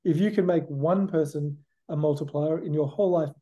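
A man's voice: a little high, a little fast, mellow. A middle-aged Australian man answering interview questions in a reflective, conversational way, often saying "you know" and "look". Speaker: guest.

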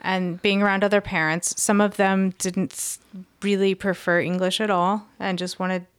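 Calm middle-aged woman, dry sound. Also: mid-range voice, moderate pace, smooth, dry recording. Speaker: guest's mother. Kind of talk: casual podcast chat.

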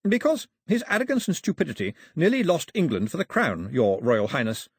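Urbane, courtly royal official, acted by a male reader, assured, husky, with lively melodic swings. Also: on the high side, fairly quick, no room sound, clean studio sound, pitched above the performer's own voice, exaggerated voice. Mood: smooth, assured. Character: smooth, formal, self-assured male crown official. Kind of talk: audiobook character voice.

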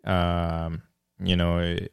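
Male podcast host from Mexico, deep and smooth, unaccented English, unhurried and halting. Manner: relaxed, hesitant, many fillers and restarts. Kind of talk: casual chat.

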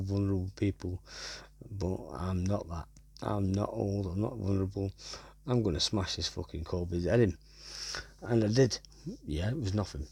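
A man's voice: on the low side, gravelly, darkish tone, husky, dry recording. A man in his thirties from North West England with a Wigan accent, hosting a podcast as a sweary casual monologue full of "right?" tags. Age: 30s. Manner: swears a lot and keeps tagging "right?". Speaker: podcast host.

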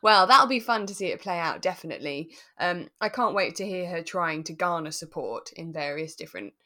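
A woman co-hosting a podcast in relaxed, casual chat, talking in a chatty, thoughtful way with plenty of qualifying. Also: fairly low pitch, a little fast. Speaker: podcast co-host. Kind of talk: relaxed casual chat reviewing a radio drama.

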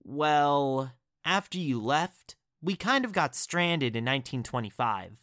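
A man's voice: fairly high pitch, very animated, slow, a bit bright, no echo, studio quality, exaggerated voice. An actor playing an awkward, apologetic man who explains things sheepishly in an audio drama. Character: awkward, flustered, apologetic man reporting mishaps.

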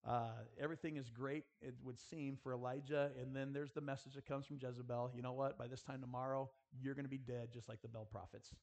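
A man's voice: medium pitch, level tone, brisk, few pauses, darkish tone. A man preaching earnestly in a school chapel style, exhorting his listeners and addressing them directly. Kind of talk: sermon with rhetorical questions and prayer.

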